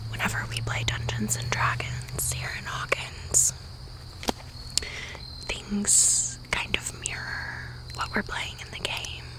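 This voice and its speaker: A woman playing a friendly teenage girl in an ASMR roleplay, whispering softly in a chatty, welcoming way. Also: mid-range voice, close to an everyday voice, low-quality recording.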